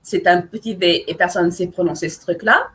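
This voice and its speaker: A woman's voice: lowish voice, fairly quick, fairly bright tone. Playful woman. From Portuguese-speaking country. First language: Portuguese. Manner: playful, teasing, self-mocking. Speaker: author guest. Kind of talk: casual live chat.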